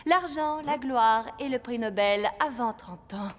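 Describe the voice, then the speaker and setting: A woman's voice: fairly high pitch, unhurried, natural voice. A woman playing an ambitious young science student in a cartoon, talkative and self-assured, with long reverb. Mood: confident. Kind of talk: dubbed cartoon acting.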